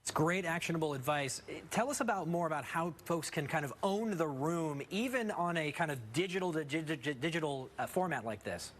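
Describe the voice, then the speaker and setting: A man hosting a TV interview, reading a scripted intro and asking questions in a polished, measured way. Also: on the high side, lively intonation, fairly bright tone. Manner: polished, measured anchor delivery.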